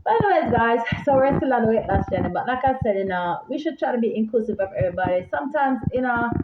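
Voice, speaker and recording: A woman with a Jamaican accent, rambling in a casual vlog, animated and repeating herself as she talks straight to her viewers. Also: a little high, quick pace, background noise. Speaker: vlogger. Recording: echoey room, phone-like sound.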